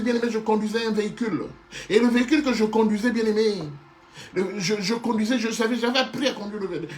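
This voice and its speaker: A man preaching a sermon with passion, often stopping to restart and repeat phrases and calling his listeners beloved. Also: sits high. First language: not Hebrew. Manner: keeps addressing listeners as beloved.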